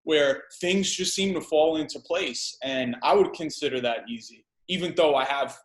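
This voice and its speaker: A man in his thirties with a fairly high voice talks as a podcast guest. He is emphatic and loose, doubling back on phrases and dropping casual curses. The sound bounces around an echoey room. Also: moderate pace. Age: 30s. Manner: emphatic, repeats himself, casual swearing. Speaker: guest entrepreneur.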